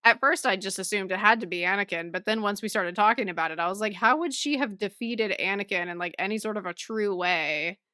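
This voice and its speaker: A woman's voice: medium pitch, fairly quick, few pauses, pushed and bright, smooth, no room sound. A woman co-hosting a podcast in a casual, chatty way, often laughing through her words. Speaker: podcast co-host. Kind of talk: casual book-club chat.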